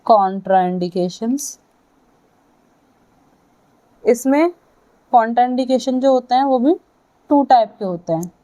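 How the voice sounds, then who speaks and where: A woman with an Indian accent giving a lecture to students, speaking in short halting phrases and checking in with her listeners. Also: fairly high pitch, lively intonation, dark tone. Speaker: physiotherapy lecturer.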